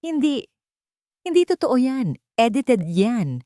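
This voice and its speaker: Casting: woman narrator as rich young schemer, pleading and emotional, lively pitch, close dry sound. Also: slow pace, no room sound. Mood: desperate denial. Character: scheming rich young male heir, falsely grieving, panicky when exposed.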